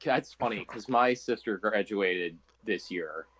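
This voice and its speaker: A man's voice: fairly low pitch, measured pace, a bit bright, breathy edge, poor audio. A young American man chatting casually with friends as a podcast co-host. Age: young adult. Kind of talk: casual chat among friends.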